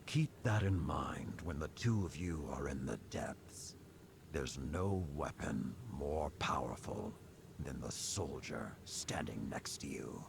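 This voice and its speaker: An actor playing an old, weathered male warrior lord who speaks in a grave, measured way. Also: extremely low pitch, lively intonation, gravelly, exaggerated voice. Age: older. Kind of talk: game cutscene dialogue. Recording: noisy game audio.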